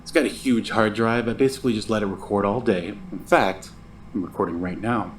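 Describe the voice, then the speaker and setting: A low male voice for a struggling, sleepless musician as acted by a man in a scripted audio drama. He is sardonic and bitter, griping with theatrical indignation. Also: brisk, a little hiss or hum, natural voice. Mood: casual, dry. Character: struggling, insomniac musician, broke, sarcastic and bitter.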